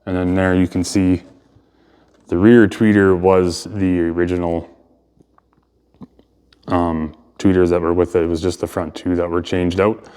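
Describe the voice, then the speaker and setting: Man, low-pitched, smooth clear tone, casual explainer, thinking aloud, long room reverb. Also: level tone, unhurried, long pauses, darkish tone. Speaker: hobbyist video host and speaker restorer.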